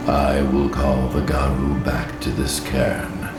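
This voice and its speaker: An actor playing an ancient, solemn guardian spirit of the forest in a video game, gravelly and archaic in speech. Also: male voice, little pitch movement. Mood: solemn, consoling. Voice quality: very deep, gravelly. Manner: slow, solemn, archaic pronouncements. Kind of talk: video game cutscene acting. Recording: reverberant, distant, under loud music.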